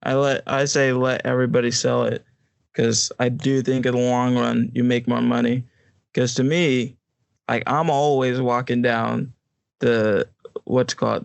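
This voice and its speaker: A man's voice: mid-range voice, vocal fry, no room sound. A man co-hosting a podcast, chatting casually in a joking, emphatic and informal way. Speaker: podcast co-host. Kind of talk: casual chat.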